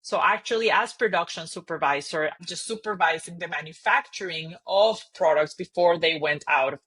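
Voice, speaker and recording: Woman from Costa Rica, bright and clear, thoughtful storyteller. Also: fairly low pitch, normal speed. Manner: thoughtful, open storytelling with frequent "right?" checks. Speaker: guest, company CEO. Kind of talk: interview conversation.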